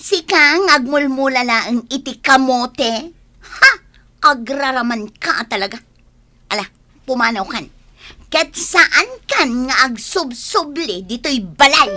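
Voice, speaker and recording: A high, lively voice with a forceful edge: a woman playing a defiant young daughter from the village. She is lazy yet ambitious and talks back in comedy radio drama style. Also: slow, exaggerated voice. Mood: scornful, demanding.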